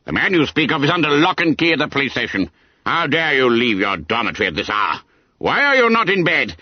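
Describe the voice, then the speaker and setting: Grim storybook schoolmaster , played by a man, thundering and exasperated, highly animated delivery, slightly husky, dull recording. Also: a little fast, dry recording. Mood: indignant. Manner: thundering, snapping, grinding, formal.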